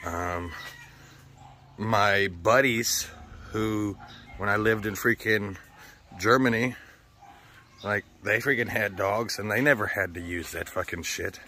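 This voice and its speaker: A man's voice: fairly low pitch, fairly even intonation, slow, long pauses, bright, forceful tone, a little hiss or hum. An American man with a slightly sore throat, rambling casually and swearing often as he chats for a vlog. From United States. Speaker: vlogger. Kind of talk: casual chat.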